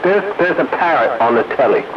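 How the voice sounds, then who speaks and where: A man's voice: mid-range voice, expressive, fairly quick. A man chatting casually as an operator over CB radio. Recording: noisy, muffled CB radio transmission.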